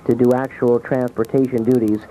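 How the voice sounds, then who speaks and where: A man's voice: medium pitch, level tone, a little fast, dark tone. Muffled male radio field reporter. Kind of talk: live on-scene reporting and brief street interviews. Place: outdoors at an airport. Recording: muffled, noisy 1980s radio aircheck.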